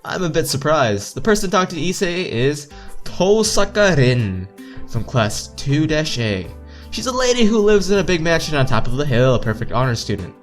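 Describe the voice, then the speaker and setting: A man's voice: fairly high pitch, very animated, moderate pace. Man reading aloud over game music. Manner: stumbles over lines and repeats words. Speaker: let's player reading a visual novel aloud. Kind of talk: reading game text aloud with joking asides.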